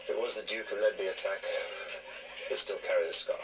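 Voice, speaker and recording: An actor playing a stern, guilt-ridden veteran soldier with a commanding, clipped way of speaking. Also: male voice, lowish voice, fairly even intonation, fast, lightly acted. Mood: grave. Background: music score.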